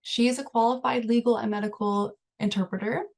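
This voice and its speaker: A woman's voice: on the high side, fairly even intonation, a little fast, creaky. A woman research coordinator opening a webinar, reading an introduction from notes with "um" fillers, on a computer mic. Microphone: computer mic on a video call.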